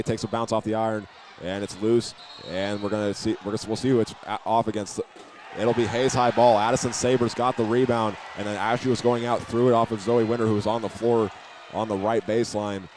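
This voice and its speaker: A man with a lowish, fairly flat voice calls a live high school basketball game. He narrates each play methodically as the announcer. Clear gym noise and bouncing balls sit behind him. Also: brisk.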